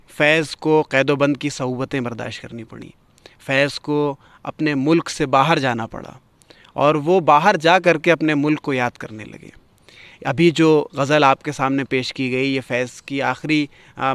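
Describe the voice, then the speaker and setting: A man's voice: medium pitch, fairly bright tone, smooth, no echo. A man hosting a poetry gathering, speaking formally and eloquently in a ceremonious literary style. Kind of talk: formal spoken introduction and commentary in literary Urdu.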